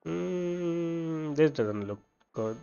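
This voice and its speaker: A man giving casual, low-key playthrough commentary in short reactions, with a dull, muffled sound. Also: lively intonation, very slow, gravelly, dark tone, clear, resonant tone. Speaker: game streamer.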